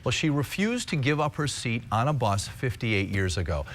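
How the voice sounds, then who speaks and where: A man's voice: medium pitch, big pitch swings, moderate pace, a bit bright, slight background noise. An American man reading scripted stories as a television news anchor.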